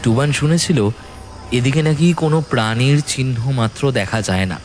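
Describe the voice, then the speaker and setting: A man narrating a scripted horror story in a dramatic, suspenseful manner with deliberate pauses. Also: mid-range voice, slight background noise. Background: background music and sound effects.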